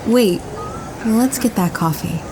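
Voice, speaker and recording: A woman's voice: fairly high pitch, lively intonation, slow pace, background noise. A woman acting a friendly, forthright young woman in an audio drama, speaking in an everyday, approachable way. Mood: direct, inviting. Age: young adult. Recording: music bed behind dialogue.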